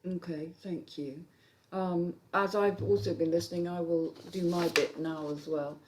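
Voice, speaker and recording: Lower-pitched woman giving feedback. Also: steady tone, a little slow, a little hiss or hum, low-quality recording. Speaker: host and script critic. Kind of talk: spoken feedback on a script.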